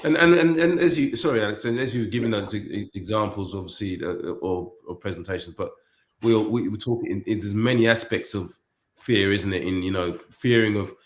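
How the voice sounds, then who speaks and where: A man in a casual panel discussion, speaking haltingly and often repeating and rephrasing his words. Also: lowish voice. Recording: echoey room sound.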